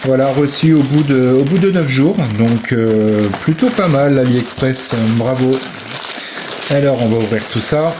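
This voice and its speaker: A man giving casual product commentary, thinking aloud with hesitant pauses. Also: medium pitch, noisy background. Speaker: amateur video maker reviewing a purchase.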